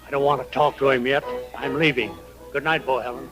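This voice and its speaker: An actor playing a cautious, hesitant small-town mayor in an old-time radio western. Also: male voice, medium pitch, steady tone, brisk, lightly acted. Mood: uneasy. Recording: vintage radio drama, faint music.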